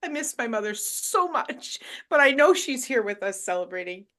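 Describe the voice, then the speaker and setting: A woman's voice: a little high, big pitch swings, moderate pace, fairly bright tone. Candid woman hosting a launch. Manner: emotional, candid, with hesitant fillers. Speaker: book launch host. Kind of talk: casual group chat.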